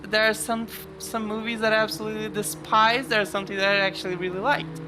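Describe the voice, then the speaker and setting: A man's voice: sits high, flat delivery, quick pace, music in the background. A man co-commentating a sim race on a live stream, playful and often laughing.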